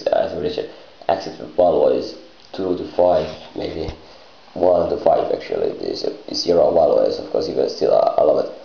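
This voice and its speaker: A man improvising a rambling monologue in halting, disjointed phrases with garbled grammar. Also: deep voice, creaky. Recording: muffled lo-fi, echoey room.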